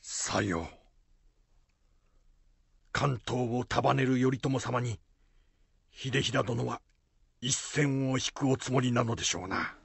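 A man acting in a Japanese radio drama as a loyal, deferential warrior-monk retainer, with a rough, gravelly voice and archaic, respectful speech. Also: medium pitch, takes it slowly, long pauses, no room sound, exaggerated voice.

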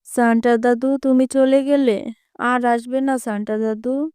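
An eager, naive young girl from a cartoon, acted by a woman. The voice is high and wishful. Soft music sits faintly behind it. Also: steady tone, unhurried, natural voice. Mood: wistful. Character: excitable, wishful young girl who adores Santa. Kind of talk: cartoon character acting.

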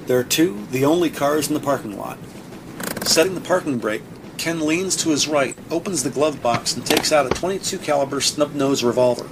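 Gravelly male audio drama narrator, noisy background. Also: fairly high pitch. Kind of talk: scripted present-tense scene narration. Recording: noisy, sound effects behind voice.

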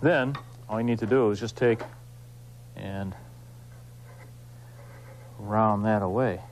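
A man hosting an instructional craft demonstration, explaining informally and in a folksy, unhurried way while he works with his hands. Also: on the low side, a little hiss or hum. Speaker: woodcarving instructor, show host.

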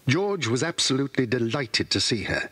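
A man with a British accent narrating a children's mystery story in a scripted, measured way. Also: medium pitch, very animated, fairly bright tone, husky. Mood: measured storytelling. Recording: 1980s children's cassette with music.

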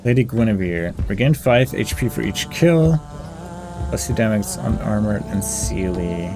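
Male gamer, lowish voice, casual think-aloud commentary over clear background music. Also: animated, unhurried. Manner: mutters through options, reading game text aloud. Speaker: gamer hosting a playthrough. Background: game music.

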